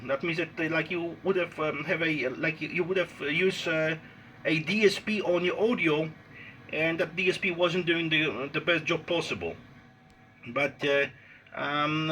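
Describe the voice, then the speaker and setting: Male ham operator, Polish accent. Bright tone, halting casual delivery, some noise on a phone recording. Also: on the high side. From Poland. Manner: halting, hesitant, searching for words. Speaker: amateur radio operator. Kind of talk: casual radio contact chat. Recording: noisy unplanned handheld phone recording.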